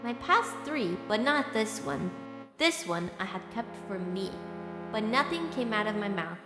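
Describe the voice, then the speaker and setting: A woman playing an anxious, apologetic, cash-strapped young streamer in an audio drama, halting and self-justifying. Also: medium pitch, lively intonation, over music, close to an everyday voice. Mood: brooding. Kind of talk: audio drama acting with narration.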